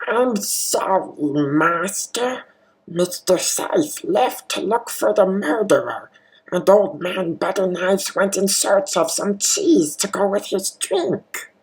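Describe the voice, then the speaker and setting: A man voicing a servile, apologetic mansion henchman who speaks deliberately in a comic radio mystery. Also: high-pitched, low-quality recording. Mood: servile apology. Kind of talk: comic radio play acting.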